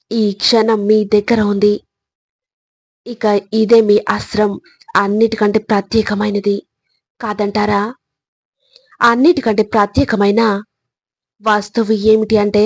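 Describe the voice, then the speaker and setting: Female motivational narrator, husky, level delivery, earnest and deliberate phrasing, dry sound. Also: mid-range voice, darkish tone, no echo. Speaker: motivational speaker.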